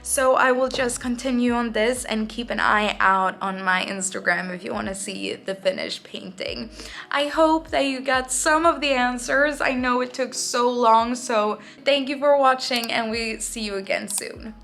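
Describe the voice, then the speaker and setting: A woman's voice: a little high, vocal fry, fairly bright tone. Young Swedish-accented woman artist, non-native English, hesitant vlog chat, faint music underneath. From Sweden. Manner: hesitant, halting, searching for words. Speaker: artist vlogger. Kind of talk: casual talking-to-camera vlog. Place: home art studio, indoors.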